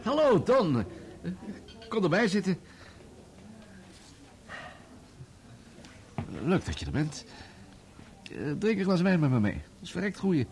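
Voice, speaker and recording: An actor playing an evasive journalist who excuses himself in a placating, reasoning manner in a Dutch radio play. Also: male voice, high-pitched, huge pitch swings, moderate pace, a little mellow, exaggerated voice. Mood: affable. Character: evasive, self-excusing male journalist, caught betraying friends.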